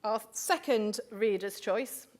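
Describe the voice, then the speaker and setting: A woman's voice: mid-range voice, expressive, slow, noisy background. A woman hosting a live awards ceremony on stage, chatty and full of asides, speaking into a microphone in a large hotel function room. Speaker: awards host.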